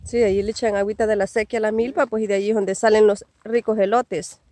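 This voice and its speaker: A woman's voice: medium pitch, little pitch movement, fast, mellow. A woman behind the camera, chatting casually and narrating for viewers outdoors in dry countryside, laughing often. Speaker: vlogger and camera operator. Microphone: camera she holds.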